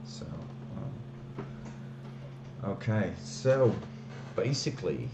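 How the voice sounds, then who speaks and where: Flemish man with a deep voice. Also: sing-song, very slow, echoey room, background noise. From Belgium, Flemish part. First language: Dutch. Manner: hesitant, many fillers and restarts. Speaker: streamer. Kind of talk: casual live-stream walkthrough.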